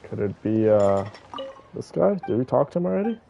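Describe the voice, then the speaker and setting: Guy streaming a game, mellow creaky voice, casually talking thru it to chat as he goes. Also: mid-range voice, very animated, moderate pace, lo-fi sound, slight background noise. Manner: thinking aloud, talking to viewers. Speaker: game streamer playing and commenting. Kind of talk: casual gameplay commentary.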